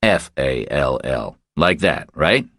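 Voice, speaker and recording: A man voicing a calm, kindly, laconic hero in a thriller audiobook. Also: extremely low pitch, slow pace, no echo, studio quality, voice pushed down, exaggerated voice. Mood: kindly, encouraging.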